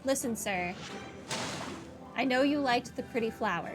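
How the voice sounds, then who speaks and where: A woman's voice: a little high, unhurried. A woman giving casual playthrough commentary on a video game with wry, playful asides. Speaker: game streamer. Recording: game music behind the voice. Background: video game music.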